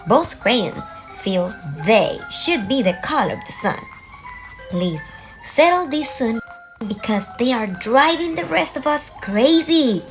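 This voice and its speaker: A woman's voice: on the high side, sing-song, takes it slowly, over music, heavily acted. A woman voicing a happy, diplomatic green crayon in an earnest, polite storybook style. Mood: polite, content. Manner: earnest, formal. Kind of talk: storybook read-aloud.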